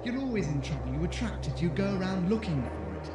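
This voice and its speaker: A man's voice: high voice, big pitch swings, fast, roomy sound, recorded from a distance. An actor playing an insistent, exasperated male companion to a time traveller in an audio drama. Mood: pleading, exasperated. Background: music.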